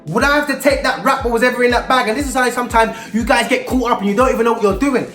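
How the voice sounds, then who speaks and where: A man with a London accent speaks in street slang, telling a personal story to young people. His delivery is animated and emphatic, slipping into quoted dialogue. The room rings with echo, and faint music plays underneath. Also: very high-pitched, very fast. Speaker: storyteller and youth mentor.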